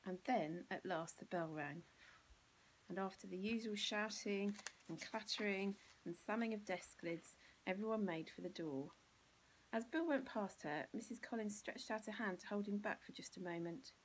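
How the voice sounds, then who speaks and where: A woman's voice: mid-range voice, normal speed, a little hiss or hum, poor audio. A woman with an English accent reading a children's storybook expressively, as a teacher would to pupils.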